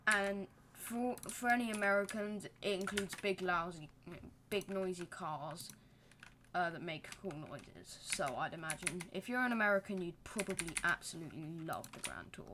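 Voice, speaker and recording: A woman's voice: fairly low pitch, normal speed, noisy background. A young woman giving casual, self-deprecating commentary while building in a game, with rambling joking asides. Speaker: gaming channel host.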